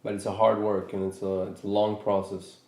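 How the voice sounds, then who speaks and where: A man in his thirties from Norway talks slowly through his thoughts, circling back and restarting his sentences as he goes. His voice sits on the low side and sounds warm and mellow. The room around him is noticeably echoey. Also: completely flat delivery. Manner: thoughtful, hesitant with many restarts. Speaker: podcast host. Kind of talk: reflective casual conversation.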